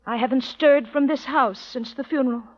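A woman's voice: high-pitched, normal speed. A woman playing a fiery, proud widow. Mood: mournful. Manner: theatrical, swings to loud indignant outbursts. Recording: dull old lo-fi recording.

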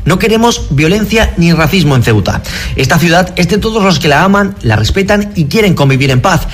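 A man's voice: a little high, animated, brisk. A man reading a scripted radio news report.

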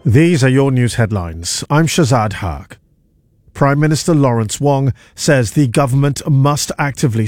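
A man reads radio news headlines in a measured, formal way. His voice sits at an ordinary pitch. The studio sound is dry and close. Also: a little mellow, no echo. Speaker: newsreader. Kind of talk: news bulletin reading.

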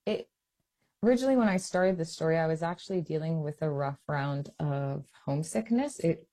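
Young adult woman author from hawaii, husky low voice, animated, lots of fillers in an interview. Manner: animated, laughs often, uses fillers. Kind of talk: interview conversation.

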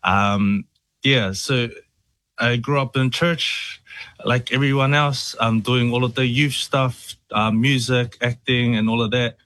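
A man, a musician guest on a radio interview, relaxed and friendly with lots of ums and yeahs. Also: mid-range voice, slow, dry recording. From New Zealand.

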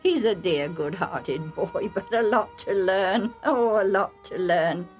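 A woman's voice: medium pitch, slow, faint music behind, lightly acted. A woman voicing a knowing, scheming wife and mother with a teasing, pointed delivery in a Bible story.

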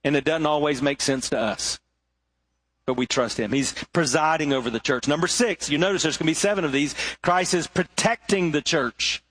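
A middle-aged male pastor preaching a sermon, swinging between rising emphasis and hushed, low moments. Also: moderate pace, husky.

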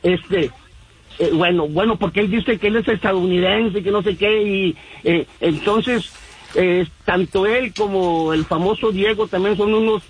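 Caller: husky man, rambling, lots of fillers, on a phone line. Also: high voice, unhurried. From Mexico. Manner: rambling, many fillers and restarts. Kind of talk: phone-in radio call.

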